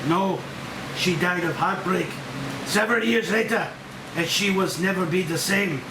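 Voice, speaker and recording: An older Japanese scientist played by a man, solemn and full of remorse. His voice sits high for a man and comes in halting, weighty phrases. It is a table read heard from off the mic in an echoey room with clear hiss. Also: far from the mic. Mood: remorseful, grave.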